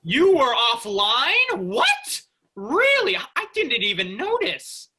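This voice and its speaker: A man's voice: very high-pitched, wildly swooping pitch, takes it slowly, sharp tone, slightly rough, exaggerated voice. A man playing a cocky, eager young spaceship worker in a staged reading of a sci-fi comedy. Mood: flustered. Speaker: actor in a student play reading.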